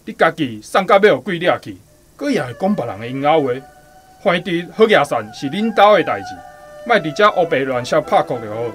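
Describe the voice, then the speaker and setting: An actor playing a proud, quick-tempered country uncle in a radio drama, speaking bluntly with a Taiwanese accent. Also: male voice, a little high, big pitch swings, fairly bright tone, faint music behind. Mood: angry.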